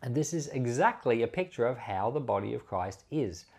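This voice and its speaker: A man, a pastor, reading scripture and giving devotional commentary in a measured, emphatic delivery. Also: medium pitch, animated, gravelly, a little mellow, slightly rough.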